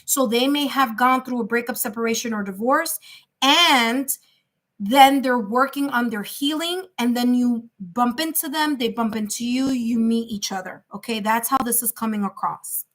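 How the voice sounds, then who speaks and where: A woman's voice: a little high, normal speed, a bit bright. A woman with a New York accent giving live tarot readings in a chatty, reassuring way, thinking aloud with fillers. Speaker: tarot reader.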